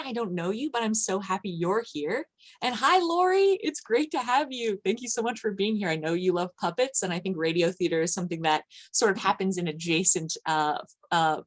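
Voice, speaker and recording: A woman hosting an online event, giving an informal, effusive welcome to the audience. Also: mid-range voice. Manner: effusive, greeting people by name. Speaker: event host, arts program manager. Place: office, on a video call.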